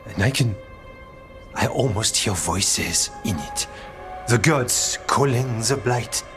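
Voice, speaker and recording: An actor playing an earnest, curious scholar-warrior in a video game, thoughtful and a little hesitant. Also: male voice, lowish voice, animated, takes it slowly. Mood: troubled, intense. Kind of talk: dramatic video game cutscene acting. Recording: clear music behind the voice.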